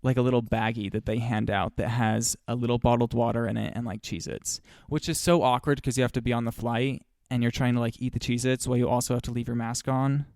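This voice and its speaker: Chatty young man with a gravelly voice. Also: medium pitch, fairly even intonation, fast talker, hardly any pauses, darkish tone, no room sound, studio quality. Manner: chatty, playful, tells stories. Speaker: podcast host. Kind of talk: casual chat.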